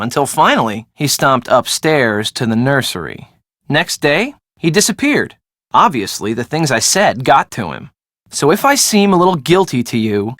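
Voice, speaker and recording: A woman's voice: a bass voice, no room sound, studio quality. An actress playing a breezy, sarcastic young woman in a video game, talking in a casual, slangy way. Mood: guilty. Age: young adult. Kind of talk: video game dialogue acting.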